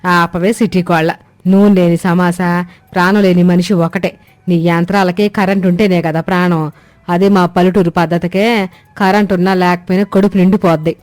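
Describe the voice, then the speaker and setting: A woman's voice: on the low side, level tone, fairly quick, darkish tone, no room sound, soft background music, natural voice. A woman voicing a scolding old village mother-in-law. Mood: scolding, grumbling. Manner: scolding, earthy, colloquial.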